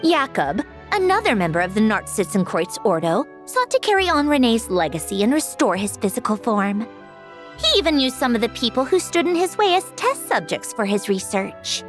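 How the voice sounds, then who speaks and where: Aloof, sardonic young woman, lively inflection. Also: a little high, moderate pace. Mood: serious, explanatory. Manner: wry, dismissive, matter-of-fact. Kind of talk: video game voice acting. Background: music.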